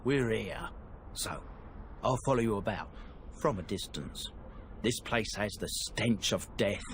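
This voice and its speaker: A wry male companion, conversational and lively in pitch. His voice is mid-pitched. Clear background noise sits behind it in the game audio. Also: measured pace. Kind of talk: video game cut-scene dialogue.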